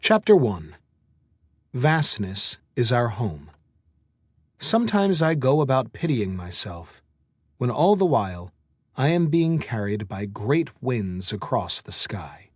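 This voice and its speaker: Man narrating audiobook, lively intonation, dry sound. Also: medium pitch, takes it slowly, husky, dry recording. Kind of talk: scripted audiobook narration of a meditation and self-help book.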